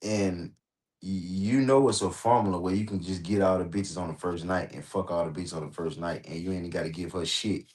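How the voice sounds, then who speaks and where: An American man talking casually on a livestream, animated and slangy, swearing often and repeating himself for emphasis. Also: sits low, fairly quick. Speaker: host reacting on a livestream. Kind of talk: casual rambling livestream talk.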